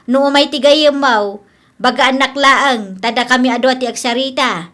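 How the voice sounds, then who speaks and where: A woman's voice: high voice, normal speed, fairly bright tone. A woman playing a bossy, grumbling, money-minded older landlady in a radio comedy drama, scolding and nagging emphatically.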